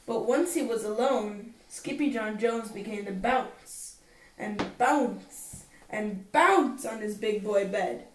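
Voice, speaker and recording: A woman reading a children's bedtime story aloud in an expressive narrating style. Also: medium pitch, slow, slight background noise. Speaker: storybook reader. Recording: roomy, distant microphone.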